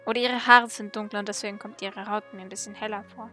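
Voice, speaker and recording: Bright woman streaming a game. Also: mid-range voice, fairly even intonation, fast, soft background music. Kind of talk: casual game commentary.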